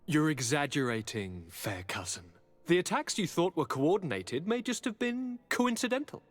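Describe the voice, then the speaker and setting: A young noble governor in a game cutscene, with a lightly high male voice. He is charming and evasive, phrasing everything with courtly, theatrical flourish, as if hiding a darker ambition. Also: big pitch swings, moderate pace. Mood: breezy, dismissive. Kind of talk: dramatic video game cutscene acting.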